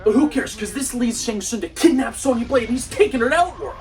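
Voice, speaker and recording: A young man with a high-pitched voice hosts a comedic movie review, picked up a little way from the mic. He is animated and sarcastic, breaking into exclamations as he goes. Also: quick pace, distant mic, soft background music. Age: young adult. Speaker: video host, movie reviewer.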